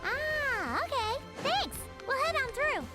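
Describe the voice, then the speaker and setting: Game role: childlike fairy sidekick, chatty, exaggerated and squeaky, lively intonation, very high register. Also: female voice, takes it slowly, soft background music, exaggerated voice. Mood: concerned, chirpy. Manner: exaggerated, cutesy. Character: small childlike female fairy companion, chatty, fretful, speaks in third person. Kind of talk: video game voice acting.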